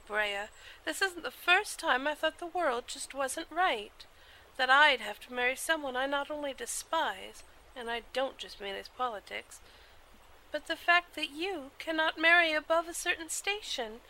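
Woman playing a high, bright young noblewoman. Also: heavily acted, poor audio. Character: headstrong young noblewoman, anxious for her twin brother. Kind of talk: audio drama acting.

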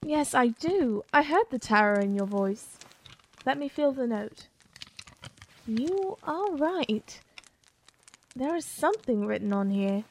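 Woman acting a slow-speaking, calm, loyal maiden. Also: sits high, heavily acted. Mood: calm, reassuring. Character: calm, loyal blind young maiden attending a noble lady. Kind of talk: audio drama acting.

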